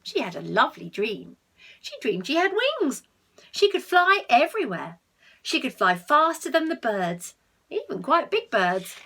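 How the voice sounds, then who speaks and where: A woman reading a picture book aloud to children, expressive, with asides to the listeners and hushed tones for effect. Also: fairly high pitch, unhurried, husky. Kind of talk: animated read-aloud with asides to young listeners.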